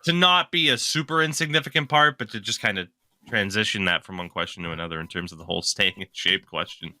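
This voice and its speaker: Young podcast dude, lowish but super bright voice, rambles and restarts a lot, swears casually, dead dry mic. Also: male voice, fast, no room sound. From lives in Maine. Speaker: podcast co-host. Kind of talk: casual chat.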